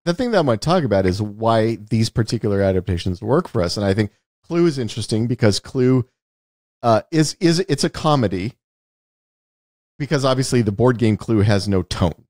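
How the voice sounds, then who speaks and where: A man's voice: fairly low pitch, animated, a little fast, darkish tone, no echo, clean studio sound. A man co-hosting a podcast in a casual movie discussion, thoughtful and circling back over his words as he builds a point. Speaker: podcast co-host.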